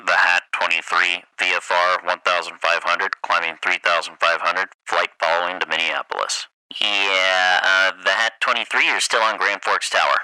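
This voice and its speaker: Man acting terse pilot, harsh bright radio voice. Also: low voice, flat delivery, normal speed, few pauses, clear, resonant tone, dry recording, pitched below the performer's own voice, poor audio. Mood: matter-of-fact. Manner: clipped, matter-of-fact radio phraseology. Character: pilots and controllers on aviation radio, terse and procedural. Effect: aircraft radio filter. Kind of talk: acted radio call examples.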